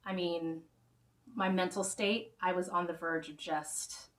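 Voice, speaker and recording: A woman's voice: on the low side, fairly even intonation, a little slow. A woman hosting her own music show, chatting casually to viewers in a rambling way with many restarts, her voice a little worn. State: slightly losing her voice. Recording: roomy sound, mic far off, faint guitar.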